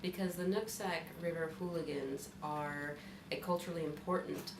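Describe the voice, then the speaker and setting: A woman's voice: low-pitched, fairly even intonation, moderate pace. A woman from Wisconsin, a college faculty member, explaining her research project plainly in an interview. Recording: echoey room, mic far off, noisy.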